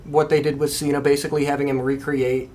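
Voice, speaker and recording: A man's voice: a little high, level tone, fast, darkish tone, a little hiss or hum. A man co-hosting a casual podcast discussion and giving his opinions conversationally. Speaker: podcast co-host.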